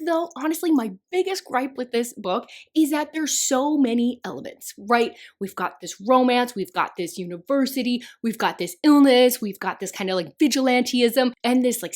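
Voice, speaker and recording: Chatty woman, slightly hoarse and nasal. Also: high voice, normal speed, hardly any pauses. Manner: chatty, animated, frequent "like" fillers. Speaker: book reviewer. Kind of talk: casual book wrap-up vlog.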